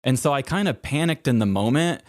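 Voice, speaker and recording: A man's voice: mid-range voice, expressive, a little fast, no echo. A man chatting casually as a podcast co-host and telling anecdotes in a conversational way.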